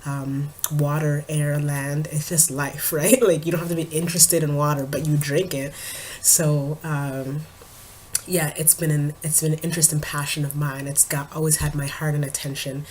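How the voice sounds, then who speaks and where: A young woman vlogger and poet chatting casually to her camera at home, her voice a little raspy from a cold, with frequent ums and small laughs. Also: low-pitched, flat delivery, normal speed, darkish tone, slight background noise. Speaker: vlogger and spoken word poet.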